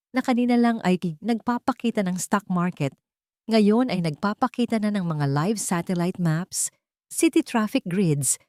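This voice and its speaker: Female audio-story narrator, low register, dramatic scripted delivery, very dry recording. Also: dry recording.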